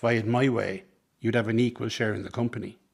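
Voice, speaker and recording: A man's voice: lowish voice, fairly even intonation, quick pace, creaky, breathy edge. A man acting in a radio drama as a scheming circus man, talking in an ingratiating, persuasive, coaxing way. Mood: earnest, coaxing. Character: smooth-talking, scheming circus man, mother's old flame. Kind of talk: dramatic radio play dialogue.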